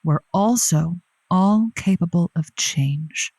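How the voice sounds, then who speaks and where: A woman's voice: fairly low pitch, slow, darkish tone, no room sound. A woman playing a caring, folksy, exasperated advice host on a call-in radio show in an audio comedy, coaxing emphatically and repeating her words. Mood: reassuring, animated.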